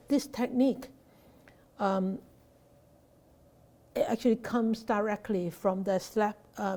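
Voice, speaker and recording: A woman Buddhist teacher answering a student's question off the cuff, halting with frequent fillers and restarts and posing rhetorical questions. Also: medium pitch, mellow. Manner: hesitant, many fillers and restarts.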